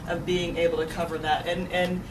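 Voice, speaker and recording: Woman, American accent, lowish voice, emphatic panel talk, repeats herself for effect, distant mic. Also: little pitch movement, fairly quick. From suburban Dallas, USA. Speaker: news anchor on a discussion panel. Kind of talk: animated, informal panel talk. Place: event room at a live panel discussion. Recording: noisy, echoey room, distant mic.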